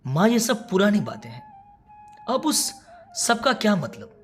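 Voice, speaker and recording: A woman's voice: medium pitch, huge pitch swings, a little slow, soft background music, pitched above the performer's own voice. A woman voicing a careless, irritated adult son in a moral story, with a curt, dismissive manner.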